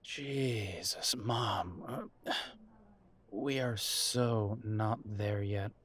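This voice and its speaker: A man's voice: on the low side, lively intonation, drawn-out words, a bit bright, breathy edge, heavily acted. A man acting a grown soldier son in an audio drama, direct but worried and a little hesitant with emotion. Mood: wry, embarrassed. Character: blunt grown soldier son, worried about his mother.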